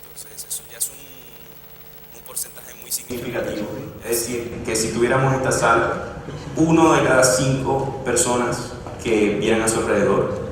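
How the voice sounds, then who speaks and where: A man's voice: medium pitch, fairly even intonation, normal speed. A man giving a conference presentation, explaining at length with frequent hesitations and restarts. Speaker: conference speaker. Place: large conference room. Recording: echoey hall, distant mic, background noise.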